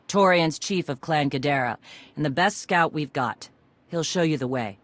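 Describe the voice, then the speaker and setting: An actor playing a tough, no-nonsense warrior clan leader in a video game, giving blunt orders. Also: male voice, a little high, moderate pace, fairly bright tone, no echo. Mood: commanding. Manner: blunt, commanding.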